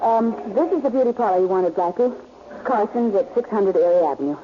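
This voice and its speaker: A woman's voice: medium pitch, expressive, a little fast, background noise, close to an everyday voice. An American actress playing a pert, teasing young woman, a detective's companion in a 1940s radio drama. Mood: curious. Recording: dull old radio recording with music.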